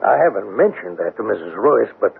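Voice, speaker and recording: Thin old radio recording, fretful old country father, high gravelly voice, folksy rambling. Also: big pitch swings, fairly quick, phone-quality sound, full character voice. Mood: gossipy. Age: older. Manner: folksy, rambling, stammering when rattled. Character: anxious, folksy old farmer, father of a grown son. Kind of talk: 1970s radio drama acting. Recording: thin, band-limited old radio broadcast, faint noise.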